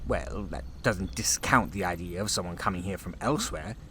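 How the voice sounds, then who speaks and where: A man's voice: low-pitched, moderate pace, pushed and bright, a little hiss or hum. A man playing an anxious, self-important chief officer in a sci-fi audio drama, agitated and pompous. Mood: anxious suspicion. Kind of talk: full-cast sci-fi audio drama acting.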